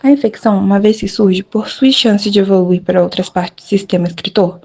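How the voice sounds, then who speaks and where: A woman's voice: medium pitch, fairly quick, gravelly, darkish tone, slightly rough. A woman with a Brazilian Portuguese accent, a student reading a school presentation and interview questions. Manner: reads from a script, plain and careful.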